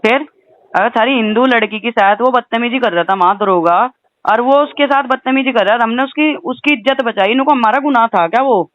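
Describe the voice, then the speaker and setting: A woman's voice: on the high side, fairly quick, dry recording. Insistent woman on a dull phone recording. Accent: western Uttar Pradesh. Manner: insistent, aggrieved, punctuating with questioning tags. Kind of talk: heated phone conversation. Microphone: phone call.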